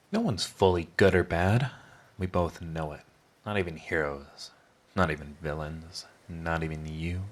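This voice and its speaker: A man playing a sarcastic, flippant villain with a teasing, mocking delivery in a scripted audio roleplay. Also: low-pitched. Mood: cynical. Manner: sardonic, theatrical teasing, drawn-out mocking delivery. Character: sarcastic male villain, flippant, prickly, begrudgingly charming.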